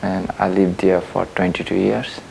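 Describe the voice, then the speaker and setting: A man from Nepal speaking in slow, careful phrases. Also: low-pitched, little pitch movement, creaky, a little mellow, a little hiss or hum, rough recording.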